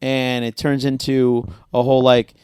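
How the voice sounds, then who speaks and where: Young comedian guest, smooth clear voice, rambling filler-heavy storyteller, dry close sound. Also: male voice, mid-range voice, little pitch movement, unhurried, darkish tone, no room sound. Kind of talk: casual podcast banter.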